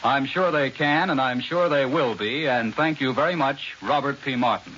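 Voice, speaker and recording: A man's voice: mid-range voice, moderate pace, a bit bright, lo-fi sound. An American man anchoring and interviewing in the scripted style of 1940s radio news, on an old radio recording. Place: New York radio studio. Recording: hissy, band-limited 1940s radio recording.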